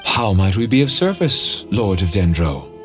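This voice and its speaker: A man voicing a shrewd, scholarly ancient spirit in a video game, speaking formally and with measured care. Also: sits low, very animated, fairly bright tone, faint music behind. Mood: courtly.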